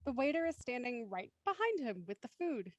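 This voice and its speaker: A woman's voice: fairly high pitch, big pitch swings, brisk, no echo. A woman reading stage directions as scripted narration.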